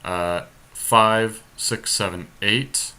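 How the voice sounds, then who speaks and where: A man with a slightly deep voice that has a bright, forward edge. He hosts a podcast and talks casually through a card game as he plays, weighing each option aloud. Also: fairly even intonation, drawn-out words, slight background noise. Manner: thinking aloud, deliberating over options. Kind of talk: casual strategy commentary while playing a card game.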